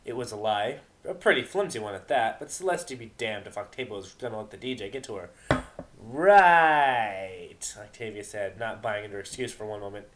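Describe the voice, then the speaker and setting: A man giving an informal, expressive dramatic narration of fan fiction, sometimes stumbling over the text. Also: on the low side, fast talker, few pauses, noisy background.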